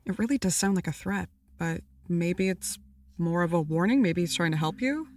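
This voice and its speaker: A woman's voice: on the low side, normal speed, a little mellow, natural voice. A woman playing a level-headed, dry-witted co-investigator in an audio drama. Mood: cautious. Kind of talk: audio drama dialogue, conversational.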